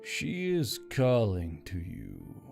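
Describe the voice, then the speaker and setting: A man voicing a dry, measured sentient magical object in a fantasy story. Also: low-pitched, wildly swooping pitch, vocal fry, a little mellow, exaggerated voice. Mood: ominous. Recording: background music under the voice.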